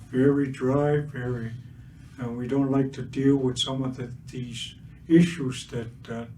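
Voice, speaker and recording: An older man whose first language is Blackfoot, giving an earnest public talk with many pauses and fillers and a touch of gentle humor. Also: medium pitch. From Siksika Nation, Alberta, Canada. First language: Blackfoot (Siksika). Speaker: guest speaker, residential school survivor. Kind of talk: public talk at a livestreamed community event. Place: cultural centre event space. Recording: distant mic with faint ambient noise.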